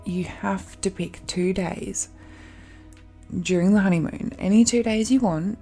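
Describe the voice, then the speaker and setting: A woman's voice: mid-range voice, measured pace, mellow, faint music behind. A woman playing an affectionate, possessive dragon-blooded fiancée in an intimate romantic audio roleplay, murmuring tenderly and a little hesitantly. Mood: coaxing, affectionate.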